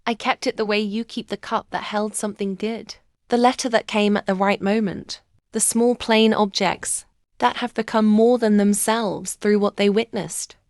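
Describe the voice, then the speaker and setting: A woman reading a first-person bedtime story aloud in a slow, gentle, reflective way. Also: mid-range voice, fairly even intonation, no echo, studio quality. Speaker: narrator. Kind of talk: scripted first-person sleep story narration.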